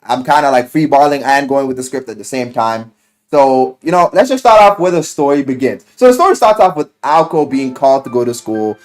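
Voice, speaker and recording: A man's voice: mid-range voice, lively intonation, a little fast. A man giving casual, loosely scripted video commentary, rambling and emphatic, with slangy, profane talk. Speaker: YouTuber reviewing a visual novel. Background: music.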